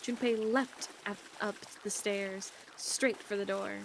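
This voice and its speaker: A woman's voice: medium pitch, fairly even intonation, measured pace, a little hiss or hum. A woman co-hosting a visual novel stream, reading narration aloud with casual, sweary asides. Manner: informal, sweary.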